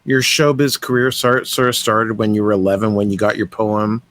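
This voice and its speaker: A man hosting a podcast, asking enthusiastic, halting questions in casual chat. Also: lowish voice, fairly even intonation, a bit bright, dry recording. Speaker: podcast host.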